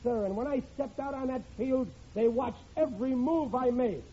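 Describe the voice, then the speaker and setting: A man's voice: very high-pitched, normal speed. An actor playing a boastful, bumbling middle-aged father in an old radio sitcom, blustering and stammering when cornered. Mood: proud, boastful. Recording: muffled, hissy vintage radio recording.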